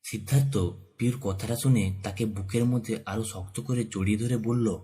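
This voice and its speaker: Mellow, low-voiced Bengali male narrator. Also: steady tone, normal speed. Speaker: story narrator. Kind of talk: scripted narration of a romantic story. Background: soft background music.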